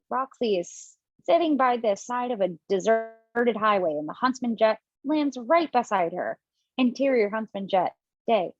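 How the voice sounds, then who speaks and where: A woman narrating stage directions for an amateur table read over a video call, casual and chatty with little asides. Her voice is mid-pitched, and the sound is dry and somewhat dull, like a lo-fi call. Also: a little mellow, no echo. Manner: casual, chatty reading with asides and self-corrections.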